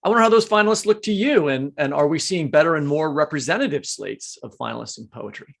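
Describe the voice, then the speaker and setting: A man's voice: a little high, animated, fast, gravelly. A man co-hosting a podcast, asking questions in a casual, conversational way. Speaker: podcast co-host, writer.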